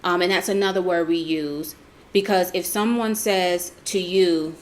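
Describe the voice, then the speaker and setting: American woman co-host, low-pitched, chatty and patient, faint noise behind. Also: steady tone, a little slow. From United States. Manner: chatty, explains patiently with fillers. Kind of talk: casual travel chat.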